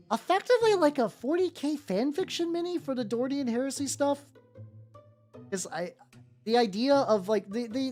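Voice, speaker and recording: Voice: medium pitch, a little mellow. A streamer chatting casually and irreverently while painting miniatures, swearing freely and often stammering or repeating words mid-sentence. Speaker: livestreamer. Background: background music.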